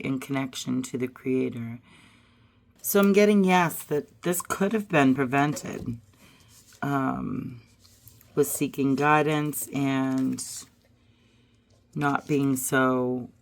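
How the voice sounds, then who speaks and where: A hoarse woman getting over a cold, musing aloud as she pulls and reads tarot and oracle cards. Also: a bass voice, fairly even intonation, slow. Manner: musing aloud, drifting to soft murmurs. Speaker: tarot reader. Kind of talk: casual card-reading vlog.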